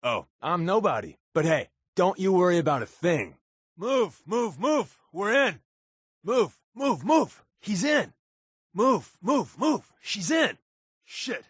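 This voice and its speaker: A man's voice: high-pitched, big pitch swings, slow pace, husky, no echo, studio quality, heavily acted. A man playing a wry, wisecracking undercover agent in a video game, with a casual, sardonic delivery. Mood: wry, playful, then urgent, tense. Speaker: voice actor playing a game companion. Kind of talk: video game character dialogue.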